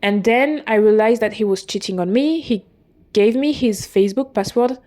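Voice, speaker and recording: A woman in her twenties, a non-native English speaker, hosting a podcast and talking through her own story in a halting, confessional way, often stopping to correct herself. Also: mid-range voice, mellow. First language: not English. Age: 20s. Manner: halting, with frequent restarts and self-corrections. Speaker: podcast host. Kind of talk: personal confessional monologue.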